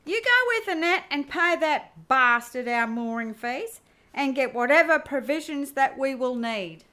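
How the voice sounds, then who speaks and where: A woman's voice: sits high, expressive, unhurried, sharp tone, heavily acted. A woman playing a blunt, brash spaceship navigator in an amateur sci-fi radio play. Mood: businesslike.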